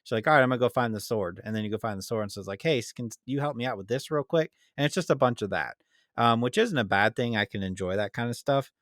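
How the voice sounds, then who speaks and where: A man's voice: medium pitch, expressive, fast, fairly bright tone, dry recording, studio quality. A man co-hosting a gaming podcast, giving casual, conversational opinions on games. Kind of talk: casual chat and informal game review.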